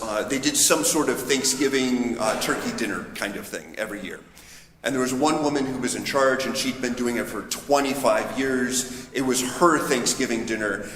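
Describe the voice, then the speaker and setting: A man, a priest, giving a conversational, anecdotal homily in a reflective, somewhat halting manner. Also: medium pitch, fairly bright tone. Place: large reverberant church interior. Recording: echoey church, long reverb, background noise.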